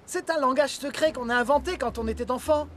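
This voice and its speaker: A man's voice: extremely high pitch, fairly quick, a bit bright, a little hiss or hum. A man playing a cheeky young footballer in a children's cartoon, eager and wheedling. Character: cheeky, pleading young male footballer, big eater. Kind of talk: dubbed children's cartoon acting. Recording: music behind the voice.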